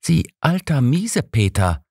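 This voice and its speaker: Male reader as bossy motherly woman, lifted pitch, lively swoops, brisk, emphatic, audiobook. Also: breathy edge, no echo, studio quality, full character voice. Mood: scolding. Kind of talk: audiobook character reading.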